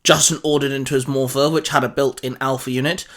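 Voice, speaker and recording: A man's voice: mid-range voice, little pitch movement, fast, a bit bright. A man reading a scripted adventure story as its narrator, in a dramatic storytelling style.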